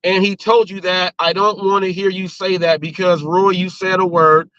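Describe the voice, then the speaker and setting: A man hosts a casual talk show in a high, bright voice. His delivery is emphatic and colloquial. The sound is dry but dull and lo-fi, like stream audio. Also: flat delivery, moderate pace, dry recording.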